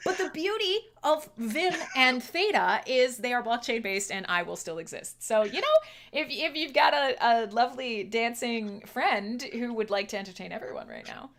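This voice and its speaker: A woman's voice: fairly high pitch, expressive, normal speed, a bit bright. A Canadian woman hosting a casual livestream chat, playful and chatty, laughing often as she talks. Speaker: livestream host.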